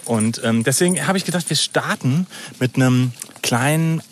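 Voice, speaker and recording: A man's voice: medium pitch, normal speed. A man from the Palatinate in Germany hosting a casual podcast, rambling and joking as he talks. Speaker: podcast host. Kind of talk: casual chat. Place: outdoors, walking through fields. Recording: outdoor recording with ambient noise. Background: outdoor ambience.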